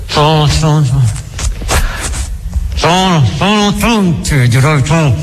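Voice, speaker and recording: An actor playing a bitter, domineering old Grand Inquisitor in a theatrical radio drama, halting and repeating his words. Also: sits high, a little hiss or hum. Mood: rising agitation. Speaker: stage actor.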